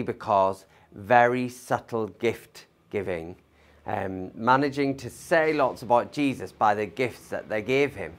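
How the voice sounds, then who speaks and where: Lively-voiced man giving a sermon. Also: on the low side. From not from Liverpool. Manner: conversational, thoughtful, with pauses and fillers. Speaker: pastor. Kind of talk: Christmas sermon.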